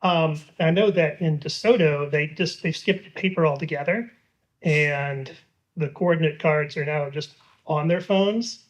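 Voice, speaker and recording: A man in his fifties whose voice sits a little high for a man. He asks his question at a library town hall in a loose, rambling way. He stops and restarts often, with plenty of fillers. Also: measured pace. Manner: casual, rambling, hesitant with many fillers. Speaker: constituent asking a question. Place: public library meeting room.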